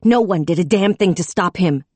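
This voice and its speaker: A fierce young policewoman, off duty and raw with anger, her voice climbing high and loud as she rails and shouts, voiced by a woman narrating an audiobook. The reading is close and dry, with no room sound. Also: animated, normal speed, dry recording, lightly acted. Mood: furious shouting. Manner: shouting, furious, tearful.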